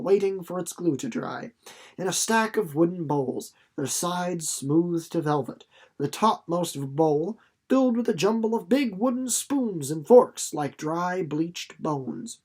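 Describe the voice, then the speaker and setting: A narrator reading a children's novel aloud in an expressive, deliberately phrased storytelling way. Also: mid-range voice. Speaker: audiobook reader.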